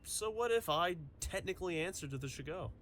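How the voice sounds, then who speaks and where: A man's voice: high voice, lively intonation, fairly quick, fairly bright tone. A man playing a cheeky young villain's henchman in an audio drama, with a casual, playful delivery. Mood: casual, doubtful.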